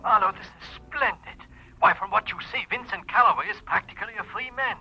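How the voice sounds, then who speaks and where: A very bright, piercing, high male voice on a telephone in a vintage radio play. an anxious, courteous gentleman client. He speaks formally and effusively, easily flustered. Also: fairly quick, creaky, breathy edge, soft background music, full character voice, poor audio. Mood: excited, pleased. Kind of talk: 1940s-50s radio drama acting.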